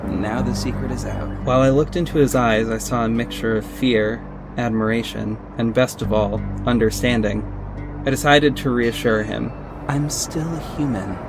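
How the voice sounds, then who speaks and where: A man's voice: mid-range voice, fairly even intonation, normal speed, over music, lightly acted, low-quality recording. A man playing a confident vigilante detective who narrates his own story in an earnest, dramatic audio drama style. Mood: soft, reassuring. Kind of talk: first-person audio drama narration with acted dialogue.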